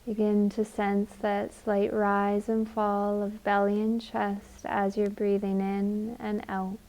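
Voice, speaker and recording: Slow, mellow woman guiding meditation. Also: mid-range voice, completely flat delivery, poor audio. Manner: soothing, gentle, unhurried with long pauses. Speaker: guided meditation instructor. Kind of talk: guided meditation, gentle instruction.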